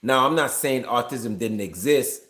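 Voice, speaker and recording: A man's voice: mid-range voice, lively intonation, measured pace, fairly bright tone. A man giving casual, off-the-cuff reaction commentary in an emphatic, conversational way, often repeating himself. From Jamaica. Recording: roomy, echoing room sound.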